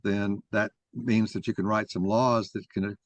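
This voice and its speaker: A man's voice: lowish voice, flat delivery, unhurried, no echo. A man moderating a mock trial discussion and arguing his own view as he goes. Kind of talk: argumentative discussion.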